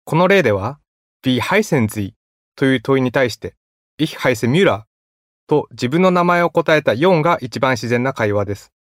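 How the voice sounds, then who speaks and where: A man reads exam instructions at a quick clip, his delivery formal, clear and even. The sound is dry and close, with no room around it. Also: medium pitch, no room sound. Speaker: exam announcer. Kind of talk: scripted test instructions.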